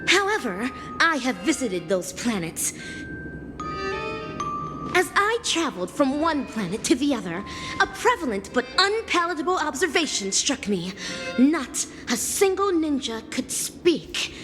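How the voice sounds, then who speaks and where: Righteous female ninja, grave melodramatic game dialogue, unhurried pace, cavernous reverb, music behind. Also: sits high, big pitch swings. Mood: solemn, grieving. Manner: grave, archaic, melodramatic phrasing. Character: solemn, theatrical female ninja, righteous and accusing. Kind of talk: dramatic video game dialogue.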